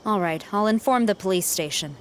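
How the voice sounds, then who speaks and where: A woman's voice: medium pitch, fairly quick, a little hiss or hum, natural voice. A woman playing a stern, dutiful military commander in a video game, speaking formally and with reserve. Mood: formal, reserved.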